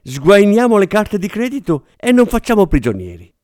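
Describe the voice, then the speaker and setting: A man doing a brisk showbiz agent woman. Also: high-pitched, huge pitch swings, darkish tone, no room sound, clean studio sound, voice pushed up. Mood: coaxing.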